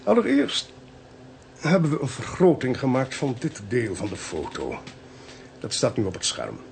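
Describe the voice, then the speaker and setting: A shrewd police chief in a Dutch radio play. His delivery swoops dramatically up and down, with a gravelly creak in it. He is deliberate, wry and theatrical, over a faint hiss. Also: mid-range voice, huge pitch swings. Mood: wry, instructive. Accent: Dutch (Netherlands).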